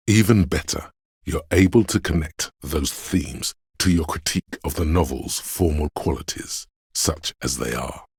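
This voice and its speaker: A man playing the pretentious, wry inner voice of an art-loving detective in a game, narrating in a theatrical, deliberate, literary manner. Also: dry recording, studio quality, heavily acted. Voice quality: very deep, gravelly, husky. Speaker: game narrator voicing a character's thoughts.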